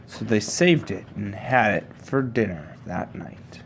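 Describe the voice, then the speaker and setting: A man reading a children's picture book aloud to his child, with a measured, rhyming storybook delivery. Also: on the low side, lively intonation, background noise.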